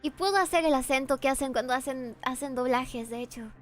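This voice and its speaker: Young woman, native Spanish speaker, higher pitch, animated casual game-stream chatter, faint music behind. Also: quick pace. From Peru. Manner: chatty and animated, swears freely, reacts to chat.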